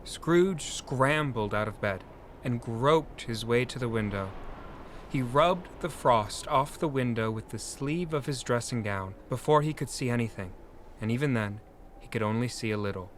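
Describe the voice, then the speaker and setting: A man narrating a scripted dramatic story in an expressive, deliberate way. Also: medium pitch, a little hiss or hum.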